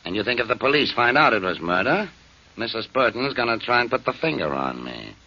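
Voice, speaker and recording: An actor playing a surly, curt boss of a gambling joint, in 1940s radio drama style. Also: male voice, medium pitch, very animated, fairly quick, pushed and bright, exaggerated voice. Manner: curt, defensive. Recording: dull old radio broadcast.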